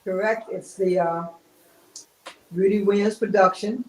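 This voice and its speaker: A woman's voice: mid-range voice, fairly even intonation, measured pace, darkish tone, roomy sound, slight background noise, rough recording. A woman being interviewed as a guest about a stage play, giving brief, matter-of-fact answers.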